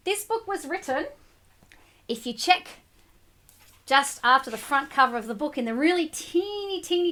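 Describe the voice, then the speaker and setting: High lively lady doing storytime for kids, really expressive, chats to the children as she goes. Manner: expressive, addresses children directly, explains simply. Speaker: teacher reading a picture book aloud to children. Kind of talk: animated storybook read-aloud with asides to children.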